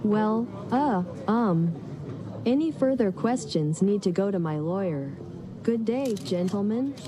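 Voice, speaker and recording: A woman's voice: mid-range voice, lively intonation, takes it slowly. Woman playing a haughty interviewee amid crowd noise. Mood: smug, indignant. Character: haughty, vindictive woman giving a press statement. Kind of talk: comedy radio theater.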